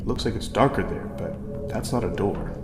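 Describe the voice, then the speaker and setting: A man playing a stubborn, commanding adventurer in a fantasy audio drama, speaking gravely. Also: fairly low pitch, moderate pace, lightly acted. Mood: wary. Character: determined, commanding male adventurer in a fantasy party. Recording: echoey reverberant hall with music behind.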